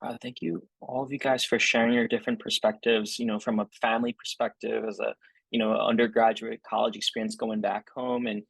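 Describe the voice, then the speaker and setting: A man's voice: fairly low pitch, flat delivery, brisk, creaky, a bit bright, husky, no room sound, low-quality recording. A man moderating a panel discussion, thanking panelists and asking questions with many fillers.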